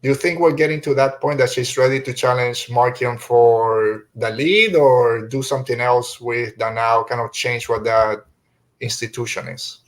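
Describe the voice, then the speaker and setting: Guy podcast host, average pitch, casual nerdy fan talk, kinda halting and wandering sentences. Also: fairly even intonation.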